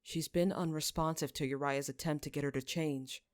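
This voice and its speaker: Female audiobook narrator: lowish pitch, measured, solemn delivery, very dry close recording. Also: fairly even intonation, no echo. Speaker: podfic narrator. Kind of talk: scripted fiction narration.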